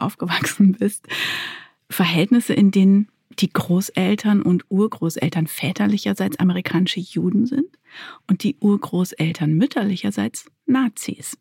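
A woman's voice: on the low side, vocal fry, mellow, breathy edge, no room sound, studio quality. A woman hosting a podcast, reading prepared introductions and putting questions to a guest. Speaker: podcast host.

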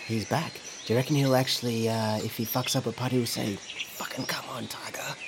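A man's voice: mid-range voice, fairly even intonation, brisk, noisy background. A man co-hosting a crude, joking podcast about sport and racing. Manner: swearing, joking, laughing often. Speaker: podcast co-host. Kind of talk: crude casual banter.

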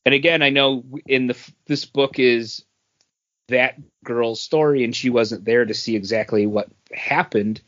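A man's voice: mid-range voice, measured pace, fairly bright tone. A man talking casually and bluntly as a podcast panelist giving a review. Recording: dull, lo-fi audio.

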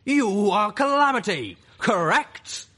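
Booming undead warrior horse for an audiobook, super formal and imperious, way higher and shouted. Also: male voice, sing-song, slow pace, slightly rough, full character voice. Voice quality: thunderous, shouting. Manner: archaic, grandly formal. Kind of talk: audiobook character voice.

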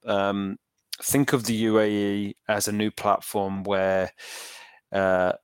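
A man's voice: on the low side, level tone, takes it slowly, smooth, dry recording. A man, an entrepreneur from the UK, giving thoughtful interview answers with frequent fillers and restarts. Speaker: entrepreneur guest.

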